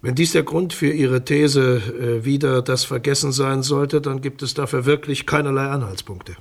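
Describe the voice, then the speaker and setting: A male public prosecutor in a radio crime play. His voice is husky and mid-pitched. He speaks in measured official phrases, guarded and dismissive. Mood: defensive. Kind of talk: radio crime drama dialogue.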